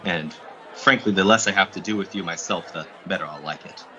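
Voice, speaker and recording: A man's voice: deep voice, brisk, dull audio, crowd noise, noisy background, natural voice. A young man acting a harried, brusque, exasperated advertising boss in a radio suspense play. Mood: cold disdain. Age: young adult. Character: harried, irascible male advertising agency boss.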